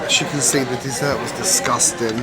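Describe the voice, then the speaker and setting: A woman's voice: rumbling low, steady tone, brisk, low-quality recording. A woman vlogging a casual food review, chatty and frank with a light joking touch. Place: busy restaurant. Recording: loud crowd chatter behind. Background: restaurant crowd chatter.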